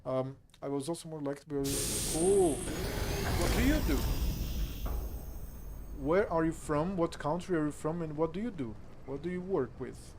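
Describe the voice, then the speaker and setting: A man's voice: on the high side, unhurried, background noise. A Brazilian man with a Brazilian Portuguese accent, casually commenting on a game he is playing and chatting with his stream viewers, reading the game text aloud under his breath and swearing freely. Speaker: gameplay streamer.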